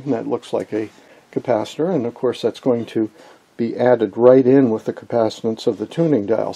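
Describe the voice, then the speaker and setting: A man giving an informal hands-on electronics tutorial in a gravelly, slightly husky voice, posing questions and answering them. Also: medium pitch, dark tone. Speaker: electronics hobbyist presenter.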